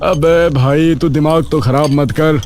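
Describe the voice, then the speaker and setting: A man's voice: on the high side, fairly even intonation, measured pace, a little mellow, close to an everyday voice. A man voicing a frightened young man in a cartoon who stammers in fear. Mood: irritated.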